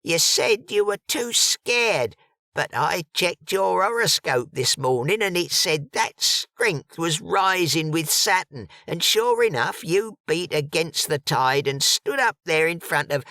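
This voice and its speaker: A man voicing an excited old fortune-telling woman with a breathy, very high voice. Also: slow, no echo, full character voice.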